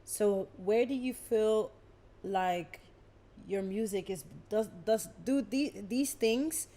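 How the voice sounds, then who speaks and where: A woman's voice: mid-range voice, takes it slowly, warm tone. A young woman from the Netherlands, hosting a podcast and chatting casually with her guest. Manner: chatty, asks questions, frequent fillers. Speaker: podcast host.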